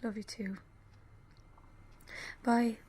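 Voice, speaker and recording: A woman acting a grieving young mother in a radio play, numb and fragile, with a hushed, breathy, hesitant delivery. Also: a little high, little pitch movement, heavily acted. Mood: numb, polite. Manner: hesitant, halting, subdued.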